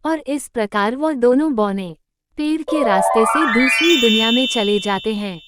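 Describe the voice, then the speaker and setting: A woman's voice: on the high side, animated, normal speed. A woman narrator reading a scripted cartoon story. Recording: loud background music.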